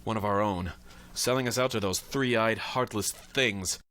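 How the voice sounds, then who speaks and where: An actor playing a grim, commanding war pilot and Martian hunter in a pulp radio drama, stern and declamatory. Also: male voice, lowish voice, unhurried, a bit bright, no echo. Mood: grim brooding.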